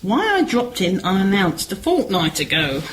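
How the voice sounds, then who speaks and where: A woman's voice: medium pitch, very animated, moderate pace, slight background noise, natural voice, low-quality recording. A woman acting a chatty, concerned village sister in an amateur radio play, gossipy and persuasive.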